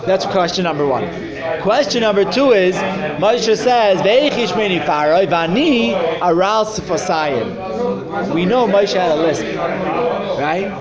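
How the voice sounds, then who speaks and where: Male Torah teacher, high voice, walks students through a text with questions; lo-fi, noisy room. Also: lively intonation, a little slow. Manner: explains step by step with rhetorical questions. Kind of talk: informal Torah class. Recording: dull lo-fi recording with loud crowd.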